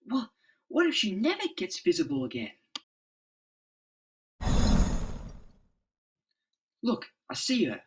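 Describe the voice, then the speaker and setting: A man voicing an excitable, hot-headed young superhero in a dramatic comic-book reading. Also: high-pitched, a little fast, husky, recorded from a distance, voice pushed up, full character voice. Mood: alarmed. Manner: excited exclamations.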